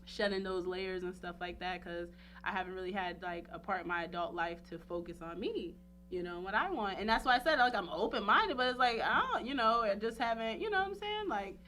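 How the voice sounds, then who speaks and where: A woman in her thirties chatting casually and candidly on a talk show, animated and rambling with frequent filler phrases. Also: medium pitch, fairly quick, faint music behind. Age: 30s. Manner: rambling, animated, peppered with "like" and "you know".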